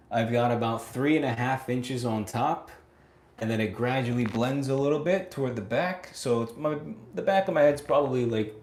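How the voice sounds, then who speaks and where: A man's voice: mid-range voice, darkish tone, reverberant. A man in his late twenties hosting a casual livestream, answering viewer comments, laughing often and tagging his sentences with "right?". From United States. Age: 20s. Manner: laughs often, chatty, repeats phrases, tag "right?". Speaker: livestream host.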